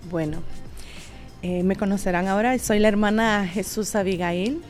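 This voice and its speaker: A woman's voice: mid-range voice, fairly even intonation, normal speed, darkish tone, soft background music. A woman from southern Mexico, a religious sister chatting casually and a little hesitantly as a guest. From Oaxaca coast, southern Mexico. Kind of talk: casual, personal interview chat.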